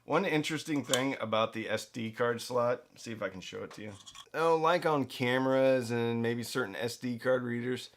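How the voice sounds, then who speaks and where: A man's voice: medium pitch, normal speed, slight background noise. A man presenting a product review in a casual, conversational way, with emphatic stresses and playful asides. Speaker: reviewer and host of a budget audio gear channel.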